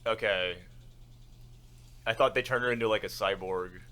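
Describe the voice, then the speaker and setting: A man's voice: lowish voice, normal speed, pushed and bright. A young man co-hosting a podcast in casual, comedic chat. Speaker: podcast co-host.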